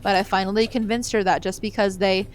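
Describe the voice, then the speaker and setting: Girlfriend, played by a woman: young, blunt, matter-of-fact female, average pitch, horror dub. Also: steady tone, quick pace, slight background noise, natural voice. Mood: casual. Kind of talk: dramatized horror comic dub.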